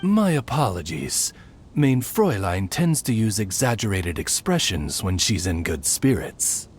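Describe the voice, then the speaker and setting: An actor voicing a devoted raven familiar in an anime game, speaking with formal, butler-like politeness. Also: male voice, fairly low pitch, big pitch swings, unhurried, background noise. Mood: courteous.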